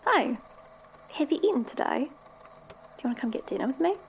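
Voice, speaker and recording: A woman's voice: sits high, big pitch swings, normal speed. A woman playing a friendly, teasing colleague in casual audio drama dialogue. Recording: muffled, noisy, a bit distant.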